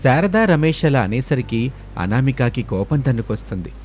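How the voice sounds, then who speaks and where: A man's voice: mid-range voice, big pitch swings, moderate pace, a little mellow, husky. A man narrating a scripted story.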